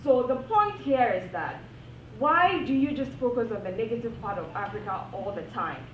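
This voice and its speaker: A woman's voice: a little high, expressive, normal speed, slight background noise. A woman from Ghana, an academic giving earnest, impassioned panel remarks and repeating phrases for emphasis. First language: not English. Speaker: panel speaker, PhD academic. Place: university event room. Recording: echoey room, distant mic, dull sound.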